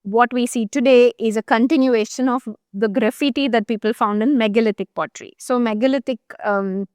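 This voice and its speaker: A woman's voice: a little high, fairly even intonation, a little fast, a little mellow, clear, resonant tone, dry recording. Thoughtful woman explaining, dry sound. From Chennai, India. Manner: thoughtful, explanatory, frequent fillers and restarts. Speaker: journalist guest. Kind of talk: conversational interview.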